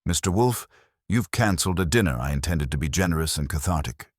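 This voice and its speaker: A smug, theatrical schemer played by a male narrator with a deep voice that moves freely up and down. He is vain and suave, phrasing things ornately in an audiobook mystery. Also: animated, fairly quick, no room sound, studio quality. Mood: smug, charming.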